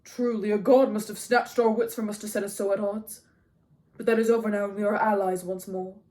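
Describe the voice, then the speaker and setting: A woman voicing a proud, pompous king and army commander with a loud, formal, declamatory delivery, as in an audiobook. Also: mid-range voice, fairly quick, lightly acted. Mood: stiff, conciliatory.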